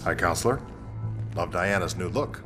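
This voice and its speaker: A cocky hero, lowish, over loud score. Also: male voice, expressive, moderate pace, over music. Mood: wry.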